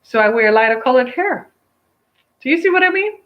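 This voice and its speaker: A woman's voice: high-pitched, lively intonation, brisk. A woman in her fifties talking casually to viewers, emphatic and preachy, repeating her phrases for effect. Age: 50s. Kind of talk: casual opinion talk to viewers. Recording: dull lo-fi sound.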